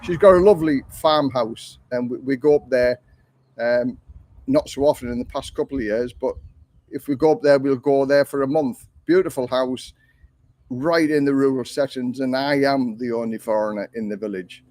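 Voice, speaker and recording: An older man, hosting a casual live stream and answering viewer questions in a rambling, chatty way, with a voice he calls monotone. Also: mid-range voice, moderate pace, no echo. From England. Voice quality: self-described monotone voice. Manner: rambling, chatty, with frequent ums and restarts. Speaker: livestream host.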